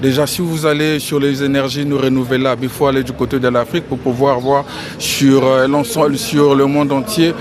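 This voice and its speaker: A man from the Republic of the Congo giving an outdoor press interview, speaking in a measured way and repeating key phrases. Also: medium pitch, level tone. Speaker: interviewee, financial engineering expert. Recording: crowd noise behind.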